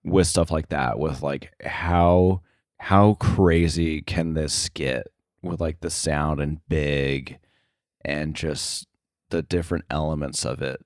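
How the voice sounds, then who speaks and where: A man speaking as an interview guest in casual chat, halting and informal, thinking his way through each phrase. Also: a bass voice, steady tone, no echo, studio quality. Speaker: interview guest, sound editor.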